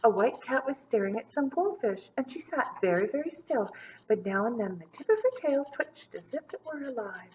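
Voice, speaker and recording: A woman in her thirties reading a children's story aloud with dramatic emphasis and drawn-out words. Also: fairly high pitch, sing-song, a little mellow, slight background noise, low-quality recording. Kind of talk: expressive storybook read-aloud for young children. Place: small indoor room set up as a classroom.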